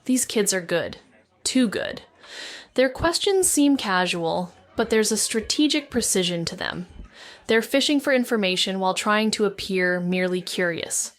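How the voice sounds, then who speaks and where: A woman's voice: mid-range voice, expressive, moderate pace, no echo, natural voice. A woman playing a wry, self-mocking young sleuth back in her hometown, narrating in the first person and stumbling a little in conversation. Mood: reflective. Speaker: narrator and lead character. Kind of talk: first-person noir audio drama narration.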